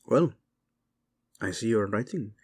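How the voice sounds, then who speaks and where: A weary knight captain of a fantasy war drama, acted by a man. His voice sits fairly low. He speaks in measured, formal phrases, solemn and haunted. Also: animated, breathy edge, full character voice. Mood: calm. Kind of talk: fantasy audio drama acting.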